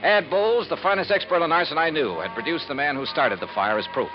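A man's voice: a little high, fast talker, natural voice. An actor playing a brash, shrewd male insurance investigator in a 1950s radio drama, with a wry, clipped, hard-boiled delivery. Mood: suspicious, narrating. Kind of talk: 1950s radio drama acting with first-person narration. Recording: muffled 1950s radio recording with music.

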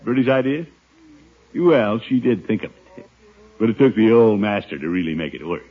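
An actor playing a pompous, blustering middle-aged uncle in a theatrical 1950s radio comedy style. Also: male voice, lowish voice, moderate pace, husky. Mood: smug, boastful. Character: pompous, flustered middle-aged uncle, boastful but easily cowed. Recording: muffled vintage 1950s radio recording.

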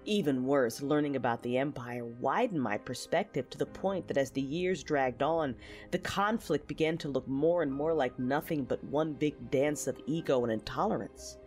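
A woman's voice: rumbling low, darkish tone. A woman playing a reflective, war-weary young female knight, narrating her memories earnestly in an audio drama. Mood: disillusioned, somber. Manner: earnest, reminiscing.

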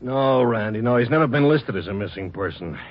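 A man playing a gruff, methodical police sergeant in a 1950s radio drama, matter-of-fact in manner. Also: medium pitch, lively intonation, brisk, natural voice. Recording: dull old radio recording.

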